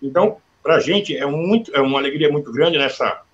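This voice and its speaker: A man's voice: on the high side, brisk, highs cut off. A man hosting a live online interview, chatting freely and reading out sponsor plugs before his questions. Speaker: interview host. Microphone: video call.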